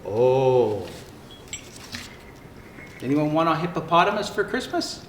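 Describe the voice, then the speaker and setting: Man playing jolly, high-pitched Santa reading stories. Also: very animated. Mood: jolly, storytelling. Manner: theatrical, unhurried, jolly. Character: jolly old Santa Claus greeting children. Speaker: storyteller reading picture books aloud. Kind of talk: expressive children's storybook read-aloud. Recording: roomy echo, faint background noise.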